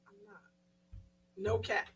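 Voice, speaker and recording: A woman's voice: slow pace, fairly bright tone. A woman in her thirties co-hosting a casual talk show, slangy and playful, often mock-protesting. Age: 30s. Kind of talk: casual banter.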